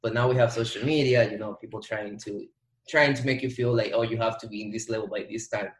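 A man's voice: on the low side, steady tone, fast. A man, an entrepreneur guest giving motivational advice in a casual interview, repeating words for emphasis. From Dominican Republic. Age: 20s. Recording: roomy echo.

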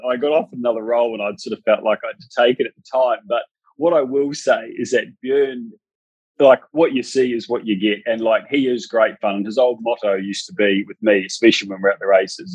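Husky-voiced New Zealand man in his thirties. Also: medium pitch, lively intonation, fairly quick, dry recording, low-quality recording. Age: 30s. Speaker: racehorse trainer guest. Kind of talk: podcast interview.

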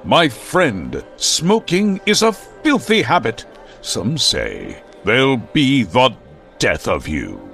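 An actor playing a grandiose, vain, aging masked vigilante hero in old-time radio style, pompous and melodramatic. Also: male voice, medium pitch, wildly swooping pitch, slow pace, gravelly, a bit bright, faint music behind, exaggerated voice. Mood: menacing.

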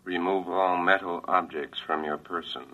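A man's voice: deep voice, fairly even intonation, normal speed, pushed and bright, dull audio. A man playing a droning, impersonal robot that gives clipped formal orders in an old radio drama. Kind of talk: 1950s radio drama acting. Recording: noisy vintage radio recording.

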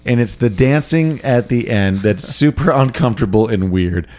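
A man's voice: medium pitch, expressive, moderate pace, darkish tone. A man chatting casually about a movie, rambling and swearing as he acts out quotes. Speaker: podcast co-host. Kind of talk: casual chat.

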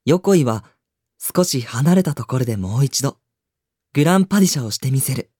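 An actor playing a reserved, understated teenage high school boy who used to dance ballet, in a radio drama with dialogue and narration. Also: animated, brisk, a little mellow, breathy edge, dry recording, clean studio sound. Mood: reflective narration.

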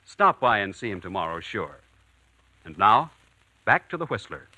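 A man's voice: fairly low pitch, big pitch swings, normal speed, low-quality recording. A man announcing a scripted 1940s radio commercial in a polished, salesman-like manner, on a vintage broadcast recording. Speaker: radio announcer and sponsor pitchman.

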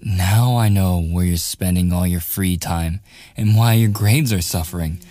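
A man's voice: low-pitched, measured pace, dark tone, no echo. A man playing a cocky young student bully who sneers and gets flustered, in a boyfriend ASMR audio roleplay. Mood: scornful. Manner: sarcastic, taunting, hesitant stammering under pressure.

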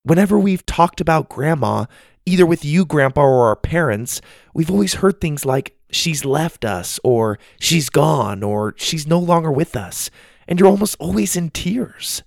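A man's voice: on the high side, animated, measured pace, creaky, dry recording, studio quality, voice pushed up. A man voicing an earnest, hesitant young granddaughter in a children's storybook.

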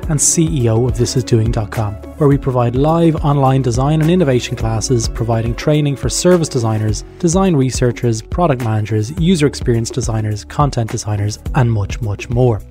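Relaxed male podcast host. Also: mid-range voice, fairly quick, hardly any pauses, soft background music. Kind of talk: podcast interview.

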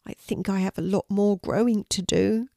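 A woman's voice: medium pitch, steady tone, moderate pace, vocal fry, mellow, no room sound, studio quality, natural voice. A woman voicing a tiny, timid five-year-old boy dragon in a children's storybook, childlike, earnest and friendly. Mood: sad, earnest.

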